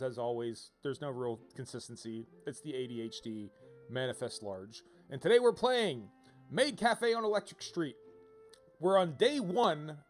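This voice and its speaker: Higher-pitched man livestreaming over music. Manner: rambling, wry, playful asides. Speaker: game livestreamer. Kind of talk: casual livestream commentary, reading game text aloud.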